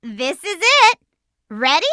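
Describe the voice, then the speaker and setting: A woman's voice: very high voice, slow pace, very noisy, heavily acted. A woman playing a perky, determined young hedgehog heroine in a video game, leading her team energetically. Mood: eager. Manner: energetic, bossy team leader. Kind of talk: video game character voice lines.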